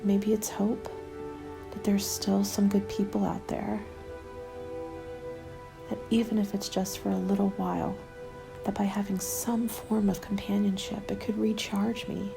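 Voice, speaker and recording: A woman playing a weary, guarded survivor of a zombie apocalypse, narrating a diary in a hushed, breathy, measured ASMR style. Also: mid-range voice, flat delivery. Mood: quietly hopeful. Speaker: narrator of an audio roleplay. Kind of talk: ASMR scripted first-person diary narration. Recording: music laid under the voice.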